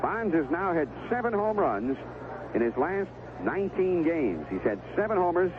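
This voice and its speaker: Animated American baseball announcer on tinny vintage radio. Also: male voice, fairly high pitch, moderate pace. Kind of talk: live sports commentary. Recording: vintage 1970s AM radio broadcast, tinny, noisy.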